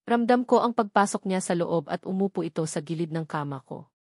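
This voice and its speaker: A woman's voice: on the low side, animated, a little fast, darkish tone, slightly rough, no echo, studio quality. A woman reading first-person scripted narration from a romance novel. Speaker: audiobook narrator.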